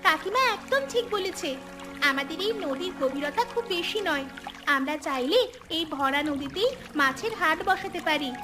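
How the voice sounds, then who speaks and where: High, bright village woman. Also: expressive, normal speed, music in the background. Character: clever young village woman. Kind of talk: cartoon voice acting.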